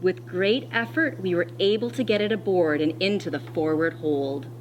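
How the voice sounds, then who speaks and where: A woman teacher reading a picture book aloud to children in an expressive, deliberate way, pausing to explain as she goes. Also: lowish voice, muffled recording, a little hiss or hum.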